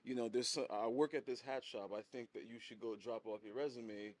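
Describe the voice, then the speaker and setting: A man's voice: mid-range voice, fairly even intonation, fairly quick, darkish tone. A man in his thirties, born in Haiti and raised, telling his story as a podcast guest in a laid-back way, chuckling often and repeating words as he goes. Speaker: guest, hat brand designer. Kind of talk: casual interview storytelling.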